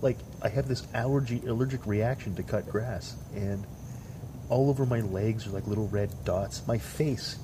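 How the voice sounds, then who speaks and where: A man's voice: fairly low pitch, normal speed. Congested man rambling in a noisy backyard. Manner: rambling, joking, swears casually. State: stuffed up, congested. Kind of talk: casual chat. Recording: outdoor noise, crickets behind.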